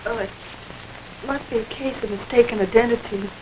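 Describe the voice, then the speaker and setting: A woman voices a frightened young woman caught up in a police case in an old radio crime play. Her voice sits high as she pleads and protests. A faint hiss lies under the vintage recording. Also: fairly even intonation, brisk, natural voice. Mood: pleading. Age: young adult. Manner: pleading, defensive. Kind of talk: 1940s radio acting.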